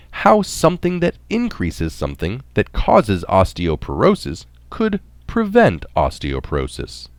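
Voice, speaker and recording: A man's voice: on the low side, no echo. A man reading a written article aloud in the manner of a narrator. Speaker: reader of recorded articles.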